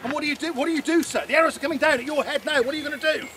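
A man runs a longbow show on an open field, barking commands and joking with onlookers. His voice sits very high for a man. A camera catches him from a distance, with heavy outdoor noise around him. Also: rapid-fire. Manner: loud commanding drill calls, teasing the audience. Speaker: archery display presenter. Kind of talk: outdoor demonstration to a crowd. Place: open field at a medieval fair. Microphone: far camera, no mic.

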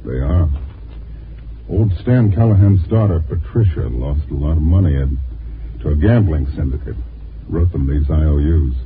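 An actor playing a shady, businesslike private detective in 1950s radio drama, curt and matter-of-fact. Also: male voice, rumbling low, lively intonation, normal speed. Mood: curt, sly. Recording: muffled, hissy 1950s radio recording.

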